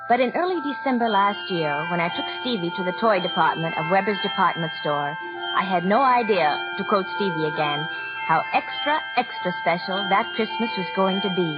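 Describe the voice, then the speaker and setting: An actress playing a gentle widowed young mother, narrating and acting in 1940s radio drama style on a muffled old recording. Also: mid-range voice, animated, moderate pace, over loud music, natural voice. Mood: reflective.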